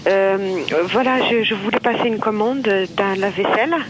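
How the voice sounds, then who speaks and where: A woman's voice: medium pitch, normal speed. Polite, hesitant woman on a noisy phone line. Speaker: customer calling a shop, teacher. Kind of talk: unscripted phone conversation.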